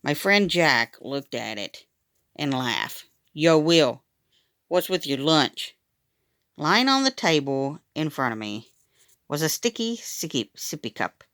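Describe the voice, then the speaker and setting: A woman reading a children's story aloud in an animated, expressive way, acting out the dialogue as she goes. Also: low-pitched, smooth, no echo, studio quality.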